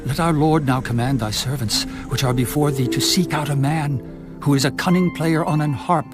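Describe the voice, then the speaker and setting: A man's voice: fairly high pitch, moderate pace, close to an everyday voice. An actor playing a deferential servant advising his king in a dramatized scripture reading. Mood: earnest, deferential. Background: music.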